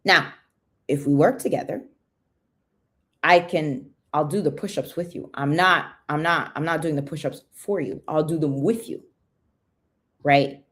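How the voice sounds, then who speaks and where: Brief: young woman, American accent, low voice, chatty livestream host who greets her viewers. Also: steady tone, measured pace, long pauses, fairly bright tone. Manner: chatty, greets viewers, asks "right?" a lot. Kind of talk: casual livestream talk to viewers.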